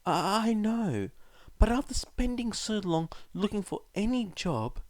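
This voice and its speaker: Storybook schoolboy, played by a man: timid, apologetic, raised pitch, hesitant stammering delivery. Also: very animated, measured pace, a little mellow, no echo. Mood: ashamed, hesitant. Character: shy, nervous middle-school boy, earnest and apologetic. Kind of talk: audiobook character voice.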